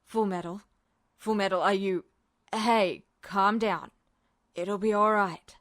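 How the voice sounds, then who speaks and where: A woman voicing an uneasy military man in an audiobook, halting and forcing himself to sound calm and reassuring. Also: mid-range voice, dry recording, clean studio sound, voice pushed down. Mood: worried, soothing.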